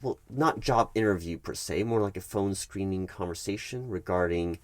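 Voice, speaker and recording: A man doing a soft-spoken ASMR roleplay as a polite, evasive HR manager, speaking in measured, hesitant, formal office phrases. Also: deep voice, dry recording. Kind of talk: soft-spoken ASMR roleplay, one-sided workplace meeting.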